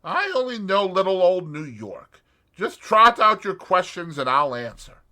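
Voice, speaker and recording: Brief: man putting on a pushy American in his thirties, loud and raised, bright and forceful. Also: high-pitched, sing-song, a little slow, pitched above the performer's own voice, exaggerated voice. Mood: blunt. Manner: loud, blunt and impatient. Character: brash young American man around thirty-five. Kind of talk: audiobook character voice.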